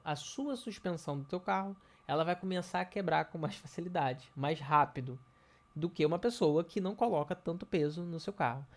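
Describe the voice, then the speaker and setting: Brazilian educator, man, stuffy nose from a cold, relaxed explaining to parents. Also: high voice. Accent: Brazilian Portuguese. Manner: conversational, many fillers and restarts. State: has a cold, nasal voice. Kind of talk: casual educational talk to parents.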